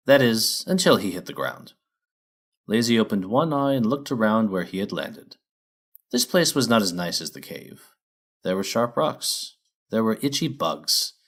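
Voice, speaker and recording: A man reading a children's story as narrator in an expressive, scripted storytelling way. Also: on the low side.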